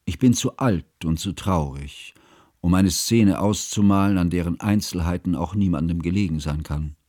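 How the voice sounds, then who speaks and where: An actor playing a sad, elderly scholar who narrates in a formal, reflective, literary manner for a radio drama. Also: male voice, deep voice, moderate pace, warm tone, no echo, studio quality. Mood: weary, melancholy. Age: older. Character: sad, elderly scholarly man recounting a friend's tragedy. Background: music.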